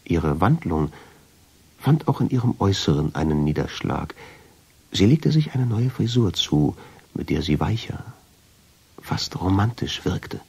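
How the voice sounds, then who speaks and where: A brooding, lovestruck man telling his own story in a radio play. His deep voice is very husky and creaky, and he narrates with deliberate dramatic intensity. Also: very animated, a little mellow, exaggerated voice. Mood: reflective, confiding. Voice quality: husky, gravelly, often sinking into whisper. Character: brooding, lovestruck male first-person narrator.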